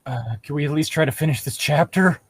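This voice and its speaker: A man with a sardonic, deadpan manner, reading a story aloud and bantering as co-host. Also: fairly high pitch, fast talker, vocal fry, breathy edge, no echo, studio quality.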